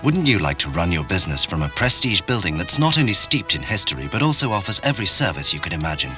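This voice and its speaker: A man's voice: low-pitched, big pitch swings, fast, pushed and bright, faint music behind. A man reading scripted corporate promotional narration for an advert. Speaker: advert voice-over.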